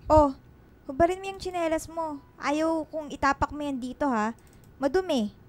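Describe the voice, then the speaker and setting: A woman's voice: high voice, moderate pace, natural voice. An actress playing a haughty, bad-tempered Filipino household employer in a radio drama, curt and scornful as she scolds. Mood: irritated, bossy. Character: haughty, bad-tempered female household employer who belittles her laundrywoman.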